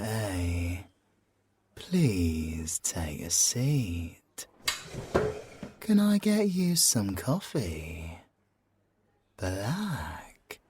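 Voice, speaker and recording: Slow, husky, suave British male siren, acted by a man. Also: sits low, darkish tone, no room sound, heavily acted. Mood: suave, teasing. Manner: slow, drawn-out teasing delivery with whispered phrases. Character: suave, flirtatious, possessive male siren, smugly self-assured. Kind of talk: ASMR audio roleplay.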